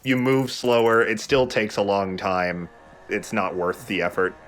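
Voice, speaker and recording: Male let's play host, lowish voice, casual and rambling explainer, light game music underneath. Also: level tone, a little slow, fairly bright tone. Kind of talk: casual gameplay commentary. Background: video game music.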